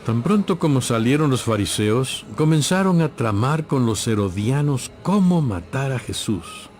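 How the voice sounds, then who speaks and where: A man giving scripted, measured and solemn narration for a dramatized audio Bible. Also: mid-range voice, animated, a little mellow.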